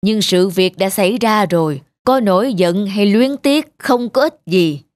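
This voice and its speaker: A woman voicing a kindly, calm older male antique collector in a moral folk-tale cartoon, measured and gently instructive. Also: mid-range voice, no echo, natural voice. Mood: serene, philosophical.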